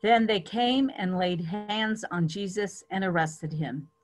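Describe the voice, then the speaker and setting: Woman narrating scripture, medium pitch. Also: slow. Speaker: reader in a church dramatic reading. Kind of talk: scripture dramatic reading.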